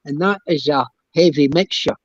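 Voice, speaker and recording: Older Scottish man reminiscing, high voice. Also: lo-fi sound, heavy background noise. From Scotland. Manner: rambling, halting storyteller. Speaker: guest, former footballer. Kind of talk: casual chat, reminiscing.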